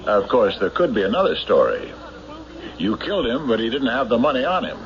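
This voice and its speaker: A man playing a tough, skeptical sheriff in an old radio drama, measured, dry and probing, on a muffled recording. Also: mid-range voice, big pitch swings, lightly acted. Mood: stern, suspicious. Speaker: sheriff narrating his own investigation. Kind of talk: old-time radio drama acting and narration.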